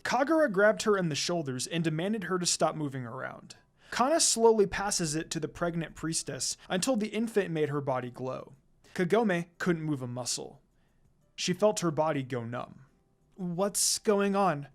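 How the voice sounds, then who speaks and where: A man's voice: on the high side, lively intonation, normal speed. A man narrating a fan fiction story as a reader.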